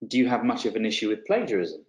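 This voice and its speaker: A man's voice: lowish voice, very animated, fast, echoey room. A man hosting a webinar interview, putting casual questions with agreeable, hesitant phrasing.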